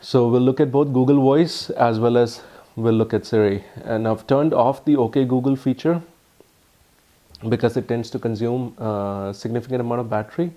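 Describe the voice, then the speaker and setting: A man with an Indian accent giving a casual, halting phone review full of ums and uhs. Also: mid-range voice, fairly even intonation, dark tone. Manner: earnest, explanatory. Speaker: tech reviewer.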